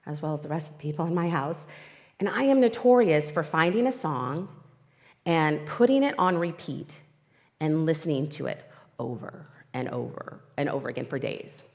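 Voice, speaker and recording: A woman's voice: deep voice, expressive, normal speed, darkish tone. A woman as guest speaker, giving a candid, emotional, personal inspirational talk into a microphone at a spiritual centre. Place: spiritual centre hall, livestream. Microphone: microphone in front of her. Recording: long reverberant hall sound.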